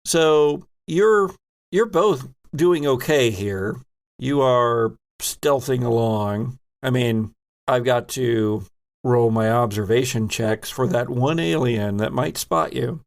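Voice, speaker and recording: A man hosts a tabletop horror role-playing game as its game master. His mid-range voice has a heavy creak to it. He switches between describing scenes and handling rules with players. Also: medium pitch, takes it slowly.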